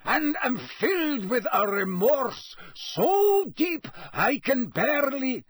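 High, panicky, grovelling prisoner. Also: male voice, very animated, fairly bright tone, breathy edge, dry recording, heavily acted. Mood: breathless, desperate. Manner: breathless, hurried, stammering, ingratiating. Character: panicky, unctuous male prisoner pleading to officials.

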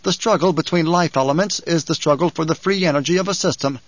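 Guy doing a cool lecturing desert ecologist, bit raised pitch, dry dull sound. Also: no echo, lightly acted. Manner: lecturing, measured. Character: calm lecturing male ecologist, quietly menacing.

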